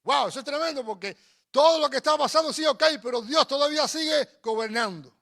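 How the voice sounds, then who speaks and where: High-pitched man preaching. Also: fairly quick, bright, forceful tone. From Cuba. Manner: emphatic, exhorting, with repeated phrases. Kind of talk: sermon with personal storytelling.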